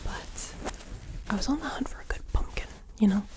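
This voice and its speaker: A woman rambling in a half-whispered, soft-spoken ASMR style, breathy and unhurried, talking close to a sensitive mic. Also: medium pitch, animated. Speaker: ASMR creator.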